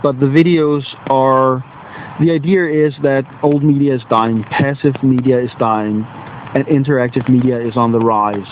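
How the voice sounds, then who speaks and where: A man's voice: mid-range voice, steady tone, normal speed, narrow, tinny audio, a little hiss or hum. A Danish man with a Danish accent and a slight cold, a teacher talking casually outdoors in a rambling, self-deprecating way, searching for English words. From Denmark. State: has a cold. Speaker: teacher and video blogger. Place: outdoors on a wet bench in nature.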